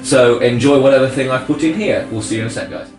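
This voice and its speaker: A man narrating a tabletop game as game master in a casual, halting way, searching for his words. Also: mid-range voice, darkish tone. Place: indoor room, group around a game table. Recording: roomy, distant mic with music behind.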